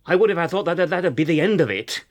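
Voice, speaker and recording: A man's voice: fairly high pitch, expressive, fast talker, dry recording, natural voice. A British actor playing a cowardly, panicky middle-aged professional man in a radio drama, pleading hesitantly and repeating himself. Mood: agitated. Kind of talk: naturalistic radio drama acting.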